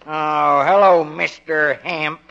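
A man's voice: a little high, steady tone, takes it slowly, vocal fry, pushed and bright, heavily acted. A man playing a wounded, grumbling small-town horse owner in 1950s radio comedy, mournful and reproachful. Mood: glum. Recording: dull vintage 1950s radio recording.

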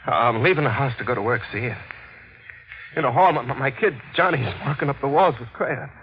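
An actor playing a nervous working-class cab driver in a 1940s radio drama, colloquial and hesitant. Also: male voice, mid-range voice, expressive, normal speed, natural voice. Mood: shaken, earnest. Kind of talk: 1940s radio drama acting with first-person narration. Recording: dull, band-limited old radio recording.

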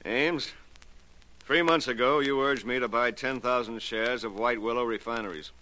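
A man acts out a ruined investor in a 1940s radio drama. His voice is sharp and forceful, mid-pitched, accusing and full of bitter menace. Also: normal speed, natural voice, poor audio.

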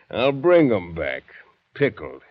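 An actor playing a callous, jovial schooner captain who gloats and scorns, in a theatrical 1940s radio drama style. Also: male voice, medium pitch, breathy edge, heavily acted. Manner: sardonic, theatrical. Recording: muffled vintage 1940s radio recording.

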